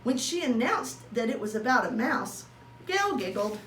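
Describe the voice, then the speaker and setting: A woman reading a children's story aloud in an animated way, drawing out words for dramatic emphasis. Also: a little high, moderate pace. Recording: roomy echo with faint noise.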